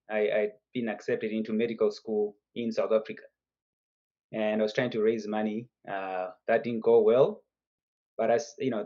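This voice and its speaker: A man's voice: on the low side, warm tone, highs cut off. A man in his fifties from Zimbabwe, telling his story as a guest in a casual video call interview. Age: 50s. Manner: chatty storyteller, laughs between points. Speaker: guest entrepreneur.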